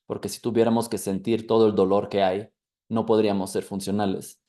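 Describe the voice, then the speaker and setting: A man with a Mexican accent hosting a podcast interview, speaking in a soft, reflective way. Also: fairly low pitch, flat delivery, a little fast. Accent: Mexican Spanish. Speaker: podcast host.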